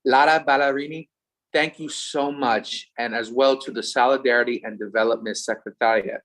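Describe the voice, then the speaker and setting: A man's voice: medium pitch, fairly even intonation, normal speed, fairly bright tone, rough recording. A man with a Philadelphia accent co-hosting an online event, giving humble thanks mixed with short Spanish phrases and casual asides. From USA.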